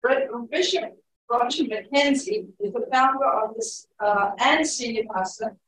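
A woman's voice: on the high side, a little slow, a bit bright, slight background noise, low-quality recording. Woman reading a speaker introduction. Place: church sanctuary.

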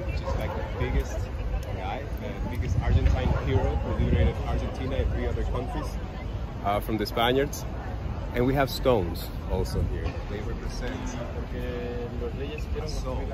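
A man's voice: medium pitch, moderate pace, a loud crowd. A man, explaining things casually as a tour guide outdoors. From Ecuador. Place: open city plaza outdoors. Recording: loud outdoor street noise.

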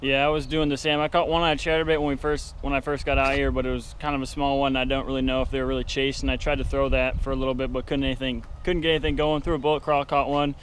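A man's voice: medium pitch, level tone, fast talker, hardly any pauses. A young man talking casually while fishing outdoors, filmed on an action camera. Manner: laid-back, chatty, swears when cold. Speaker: fishing vlogger. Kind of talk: casual vlog chat. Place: outdoors on a windy dock by a canal. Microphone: action camera, held or set nearby. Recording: wind noise on outdoor camera mic.

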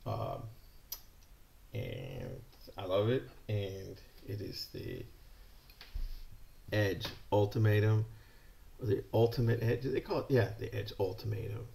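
A middle-aged American man with a deep, heavily gravelly voice talks in a loose, excited ramble as he shows off new stock. It's recorded on a desk camera in an indoor shop room. A faint hiss sits under the voice. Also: slow, long pauses. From California, USA. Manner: enthusiastic, rambling, chatty. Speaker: yo-yo shop owner. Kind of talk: casual product unboxing.

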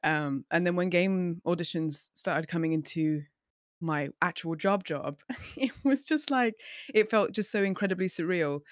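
A young woman, a guest on a podcast, telling her story in casual, animated chat. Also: low-pitched, no room sound, clean studio sound. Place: home room, indoors. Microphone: studio mic on a boom arm, video call.

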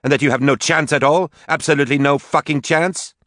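A man reading an audiobook voices an angry grown daughter who lashes out at her mother, raising his pitch well above his own. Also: quick pace, dry recording, clean studio sound, lightly acted. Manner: sharp, pleading, swearing outbursts. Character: furious grown daughter, young woman, bitter and tearful.

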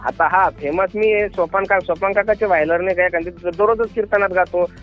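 वाद घालणारा, उंच आवाजाचा ग्रामीण महाराष्ट्रीय माणूस. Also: male voice, high-pitched, fairly even intonation, a little fast. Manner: argumentative, insistent, repetitive. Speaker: kirtankar, devotional performer. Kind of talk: heated argument on a phone call. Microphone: phone call. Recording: dull phone call recording with music.

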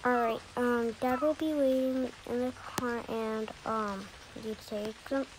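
A woman's voice: a little high, fairly even intonation, a little slow, background noise, natural voice. A woman voicing a busy mother in improvised pretend play with game characters, hesitant and murmuring to herself. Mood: busy, distracted. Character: busy mother packing up for a family move.

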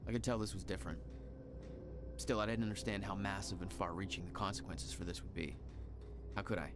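An actor playing a brooding hero, narrating his past gravely and reflectively in a gravelly voice for a video game. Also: deep voice, fairly even intonation, brisk, over music. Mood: grave, rueful.

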